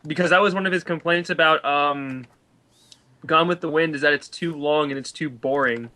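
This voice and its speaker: Man, bright tone, slightly high pitch, exasperated delivery, casual film debate, voice call. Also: normal speed.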